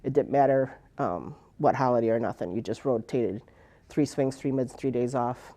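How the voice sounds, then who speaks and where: Rambling, mellow-voiced woman veteran. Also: very deep voice, normal speed. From United States. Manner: rambling, with many fillers and restarts. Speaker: Air Force veteran interviewee. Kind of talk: personal oral history interview.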